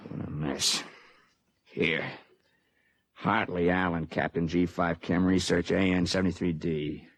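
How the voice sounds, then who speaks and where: An actor playing a gruff, slangy army sergeant in a 1950s radio drama. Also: male voice, deep voice, level tone, a little slow, no room sound. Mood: weary, matter-of-fact. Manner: colloquial, barking orders.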